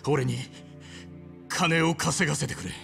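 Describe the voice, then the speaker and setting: A man playing a desperate, hot-headed young yakuza in a video game drama, rough-spoken and pleading. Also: fairly high pitch, measured pace, pushed and bright, soft background music, full character voice. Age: young adult.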